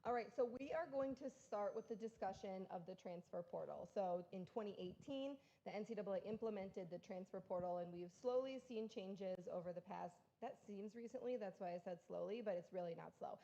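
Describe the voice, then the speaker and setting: A woman's voice: medium pitch, brisk, few pauses, dark tone, lo-fi sound. A woman who researches college sport, moderating a conference panel in a friendly, organized way with frequent ums. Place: conference room at a university.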